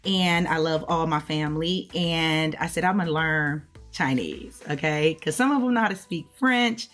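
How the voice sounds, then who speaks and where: An American woman with a low voice speaks in a casual vlog style, addressing viewers directly. She explains things conversationally and often checks in with small tag words, over faint music. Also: normal speed, a bit bright. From United States. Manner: conversational, checks in with okay, right, all right. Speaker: language learner hosting a video channel.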